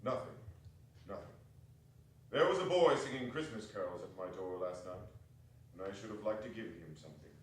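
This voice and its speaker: Man acting excitable old miser in echoey hall. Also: fairly low pitch, normal speed, pauses often, lightly acted. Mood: remorseful, subdued. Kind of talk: theatrical dramatic reading. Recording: very echoey hall, distant mic.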